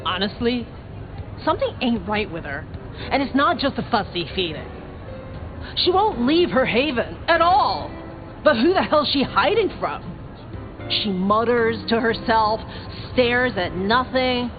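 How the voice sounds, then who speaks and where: A woman's voice: fairly high pitch, very animated, unhurried. An actress playing a brash, sarcastic young female vampire in a video game, a scrappy small-town newcomer who talks in slangy, sardonic, sweary bursts. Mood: gossipy. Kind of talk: video game character dialogue. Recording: dull game audio under clear music.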